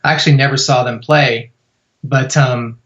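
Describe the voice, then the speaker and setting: Male podcast guest, mid pitch, loose rambling storyteller, slightly muffled audio. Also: fairly even intonation, moderate pace, fairly bright tone. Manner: rambling, many fillers and restarts. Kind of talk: casual storytelling interview.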